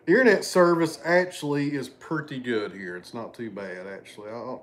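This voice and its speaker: Man, thirties, drawl, relaxed livestream chatter, thanking viewers, home living room. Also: medium pitch, moderate pace. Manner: chatty, thanks viewers by name, loses his train of thought. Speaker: livestream host. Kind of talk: casual livestream chat reading super chats.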